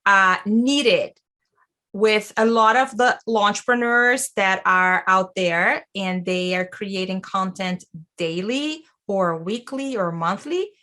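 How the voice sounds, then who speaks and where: Bright-voiced enthusiastic woman host. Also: medium pitch, slow. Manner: warm enthusiastic, loose grammar with restarts. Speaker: podcast host. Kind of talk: casual interview.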